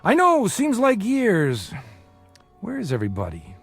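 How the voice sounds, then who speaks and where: A world-weary small-time hustler in an old-time radio drama. His voice sits on the high side and swings freely, full of flippant wisecracks and bravado, over soft background music. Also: huge pitch swings, measured pace, breathy edge, heavily acted. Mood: breezy, evasive.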